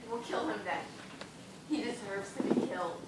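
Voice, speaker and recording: A woman's voice: medium pitch, moderate pace, close to an everyday voice. Distant, echoey, desperate stage dancer played by a woman. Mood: scheming, desperate. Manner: melodramatic, pleading, formal stage diction. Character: desperate vain woman dancer dying of thirst, stranded at sea. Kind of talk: amateur one-act stage play acting. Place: indoor theatre stage, recorded from the audience distance. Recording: echoey noisy hall from far off.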